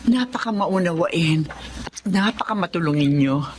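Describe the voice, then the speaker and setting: Expressive, caring Filipina mother, acted by a woman. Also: fairly low pitch, normal speed, natural voice. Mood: tender, grateful. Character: grateful, caring mother of a poor provincial family. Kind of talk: Filipino radio drama acting. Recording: noisy radio drama track.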